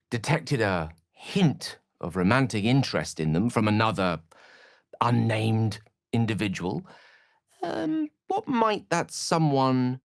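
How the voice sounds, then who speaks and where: An actor playing an eloquent, wry, romantic scholarly wizard in a fantasy video game, speaking in an articulate, theatrical way. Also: male voice, on the low side, creaky, no room sound, clean studio sound, full character voice.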